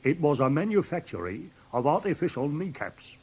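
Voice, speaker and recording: Radio drama role: indignant English tradesman, husky, swooping intonation, rambling and easily flustered, humble before his betters. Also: on the high side, sing-song, normal speed, a little mellow. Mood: aggrieved. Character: indignant, simple-minded London pawnbroker, wronged and fussy. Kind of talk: classic British radio drama acting.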